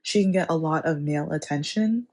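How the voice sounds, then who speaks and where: Low raspy woman giving advice. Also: darkish tone. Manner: measured, lecturing, lists points one by one. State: raspy from a cold. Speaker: channel host. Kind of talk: advice monologue to camera.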